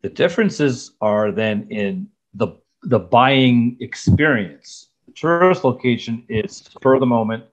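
A man's voice: medium pitch, expressive, slow pace. A middle-aged man interviewing a guest over a video call from his home office, asking stacked questions and then summing up the answers. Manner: asks layered questions, summarizes. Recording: slightly dull video call audio.

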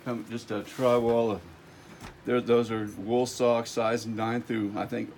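A middle-aged man talks slowly and casually as he tours a warehouse, naming items and repeating himself. His voice sits mid-range for a man. It's captured on a phone camera with clear background noise. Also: medium pitch, flat delivery, darkish tone. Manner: casual, halting, repeats item names. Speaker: warehouse tour guide. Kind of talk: walkthrough listing inventory. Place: large indoor warehouse. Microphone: handheld phone camera nearby. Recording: noisy warehouse phone video.

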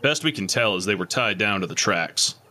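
A man's voice: on the low side, lively intonation, brisk, pushed and bright. A man playing a world-weary, skeptical murder investigator in an audio drama, terse and matter-of-fact. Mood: weary, probing. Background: music.

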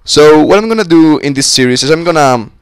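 A young man with a slightly sick voice, giving casual, excitable commentary on a co-op game with friends on a close mic. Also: fairly high pitch, a little fast, smooth, no echo. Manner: excitable, swearing, joking with friends. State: sick, voice a little off. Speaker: gameplay commentator. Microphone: close-up desk mic.

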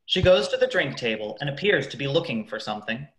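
A man's voice: medium pitch, very animated, quick pace, fairly bright tone. A young man reading stage directions as the narrator of a radio play, in scripted narration. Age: young adult. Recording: echoey room.

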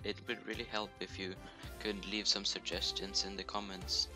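A man with a Norwegian accent, speaking English as a second language, giving a casual voice-over for a screen recording of his video game build, with joking self-deprecating asides over poor home-mic audio. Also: lowish voice. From Norway. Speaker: YouTuber. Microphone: home computer mic, poor audio.

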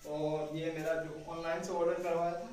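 A man's voice: on the high side, little pitch movement, moderate pace, darkish tone, a little hiss or hum. Young man touring bare echoey rooms. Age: young adult. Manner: chatty, walks while talking to a filming sister. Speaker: vlogger giving a house tour. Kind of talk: casual home tour vlog. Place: small concrete house, bare rooms and rooftop. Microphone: camera held by someone else, a few steps away. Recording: echoey bare rooms, distant camera mic.

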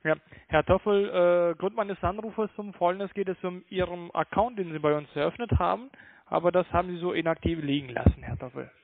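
A man's voice: sits high, brisk, gravelly, dry recording. A man making a formal, persistent phone sales call, speaking hesitantly as an agent. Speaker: cold-calling sales agent. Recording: narrowband phone line.

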